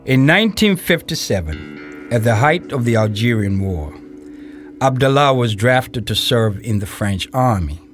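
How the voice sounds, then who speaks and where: A man acting as the narrator of an audio play, giving measured, factual, documentary-style narration. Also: medium pitch, lively intonation, fairly bright tone, soft background music. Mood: sober, factual. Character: sober male documentary-style narrator of a biography.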